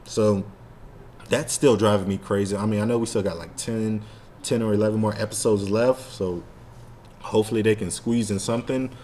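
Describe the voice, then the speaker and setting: A man's voice: on the low side, fairly even intonation, normal speed, dark tone, slight background noise. A man co-hosting a casual fan podcast, talking in a rambling, slangy way with lots of "like". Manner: rambling, heavy use of "like" and slang. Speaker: podcast co-host.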